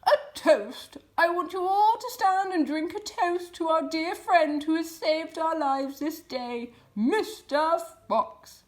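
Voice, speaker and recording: A woman voicing a hearty, blunt male badger who exclaims loudly in a children's storybook. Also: extremely high pitch, slow, hardly any pauses, voice pushed up. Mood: celebratory. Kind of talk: children's storybook character reading.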